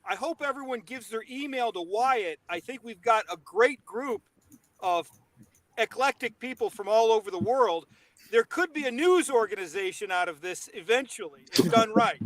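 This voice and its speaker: A man's voice: very high voice, lively intonation, normal speed, a bit bright, no room sound, rough recording. A man hosting an open call, upbeat and encouraging toward the group. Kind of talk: casual chat.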